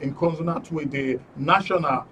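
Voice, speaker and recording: A Nigerian man hosting a live studio panel show, introducing things haltingly and repeating phrases. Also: on the high side. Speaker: radio and TV programme host. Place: radio and TV studio. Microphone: studio microphone. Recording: faint noise, distant studio mic.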